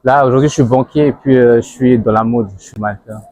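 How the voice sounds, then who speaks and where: A man's voice: medium pitch, fairly even intonation, quick pace, darkish tone, no room sound, lo-fi sound. A young man giving casual interview answers, piecing them together with hesitations. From Zurich, Switzerland. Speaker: interviewee, banker and model.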